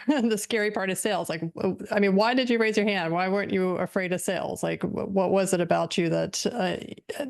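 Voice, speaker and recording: A woman's voice: on the low side, darkish tone. A woman hosting an interview podcast in a casual, conversational and slightly rambling way. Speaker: podcast host, nurse entrepreneur.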